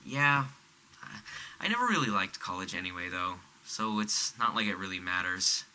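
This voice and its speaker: A man's voice: sits low, expressive, moderate pace, bright, forceful tone, a little hiss or hum, exaggerated voice. A man playing an awkward young college dropout in an audio drama, hesitant and stammering, with a casually bitter edge. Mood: hesitant, downplaying. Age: young adult. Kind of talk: naturalistic audio drama dialogue.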